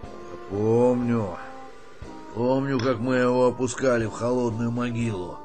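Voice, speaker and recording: An actor playing a weary old army colonel in a radio drama, grumbling, sighing and brusque. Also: male voice, medium pitch, fairly even intonation, a little slow, darkish tone, soft background music, close to an everyday voice. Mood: weary, self-pitying. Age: older.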